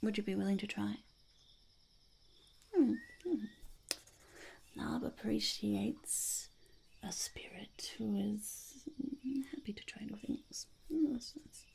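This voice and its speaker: A woman voicing a quirky, scheming goblin interviewer in a whispered ASMR roleplay, halting and muttering, often repeating phrases. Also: medium pitch, a little mellow. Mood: inquisitive, scheming. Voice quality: breathy whisper with heavy creak. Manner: halting, muttering, repeats phrases, speaks of self in third person.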